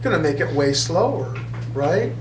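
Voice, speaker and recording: A middle-aged man teaching a high school physics class, chatting with students and often checking they follow. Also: animated, normal speed. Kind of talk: classroom lecture. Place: classroom at a whiteboard. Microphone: far camera. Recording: echoey classroom from far off with hum.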